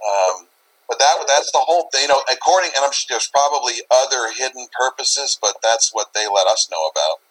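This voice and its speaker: A man's voice: medium pitch, lively intonation, a little fast, a bit bright, rough recording. A man talking casually in an interview, rambling and circling back over his phrases as he explains. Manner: rambling, repeats phrases, often says "you know". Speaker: interview guest, paranormal investigator.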